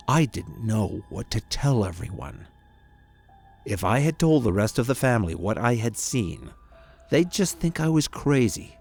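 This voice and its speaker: A low-pitched man acting as a haunted grandson tells a first-person horror story. He speaks in a measured, dramatic way, leaving deliberate pauses. Music plays behind the narration. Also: creaky, a little mellow, slightly rough, full character voice. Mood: regretful, haunted. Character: haunted grown grandson recounting a frightening visit.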